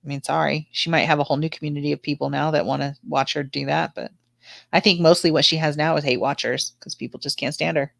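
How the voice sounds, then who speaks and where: A woman's voice: low-pitched, steady tone, quick pace, vocal fry, dry recording, clean studio sound. A woman with a slightly stuffed-up nose, chatting casually with viewers on a livestream panel. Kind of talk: casual chat with live viewers.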